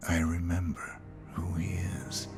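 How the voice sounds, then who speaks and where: A stoic male assassin in a game cutscene. He is terse and resolute, with a very low, deliberate, slow delivery. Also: over music. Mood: cold, subdued. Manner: terse, deadpan. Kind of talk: dramatic video game cutscene acting.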